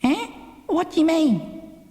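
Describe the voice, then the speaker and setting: A man's voice: extremely high pitch, sing-song, slow, dark tone, no echo, pitched above the performer's own voice, full character voice. A man voicing an uncertain, wondering young lad with a hesitant manner in an audiobook. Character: Milo, uncertain, wondering young lad with London street talk. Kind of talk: dramatic audiobook character reading. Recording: cassette audiobook.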